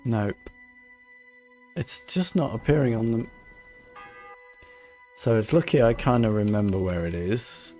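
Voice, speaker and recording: A man's voice: lowish voice, measured pace, pauses often, warm tone, soft background music. A man giving casual playthrough commentary on a video game, thinking aloud and muttering to himself as he plays. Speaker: streamer playing a video game.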